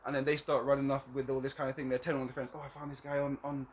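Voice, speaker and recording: Fast-talking slangy young man host. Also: mid-range voice, flat delivery, a little hiss or hum. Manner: eager, slangy, full of agreeing interjections. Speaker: podcast host. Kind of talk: casual chat.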